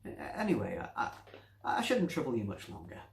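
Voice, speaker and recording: Guy doing a awkward soft-spoken doctor for a story, stammery and hesitant, voice jumps about, echoey. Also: medium pitch, wildly swooping pitch, a little fast, gravelly, a little hiss or hum. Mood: awkward, polite. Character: meek, awkward bespectacled bearded male doctor visitor. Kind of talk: story reading with character voices.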